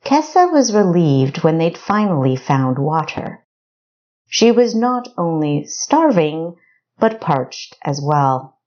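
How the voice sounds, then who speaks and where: A woman's voice: fairly low pitch, a little mellow, dull audio. A woman reading scripted fiction narration for an audiobook. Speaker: author narrating own audiobook.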